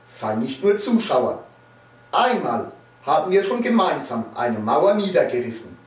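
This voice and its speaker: Male poetry reciter, theatrical and declamatory, with widely swinging intonation; roomy, distant-mic sound. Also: high voice. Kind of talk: dramatic poetry recitation, satirical. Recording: roomy echo, distant microphone.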